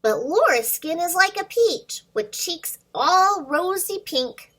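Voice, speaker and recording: A woman's voice: extremely high pitch, animated, slow pace, a bit bright, pitched above the performer's own voice, full character voice. A woman voicing a naive, childlike young male pet dragon in an exaggerated storybook read-aloud. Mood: delighted realization.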